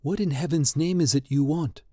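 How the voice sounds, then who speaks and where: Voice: medium pitch, normal speed, warm tone, dry recording, lightly acted. A reader voicing skeptical young painter, dismissive, plain voice, dry close sound.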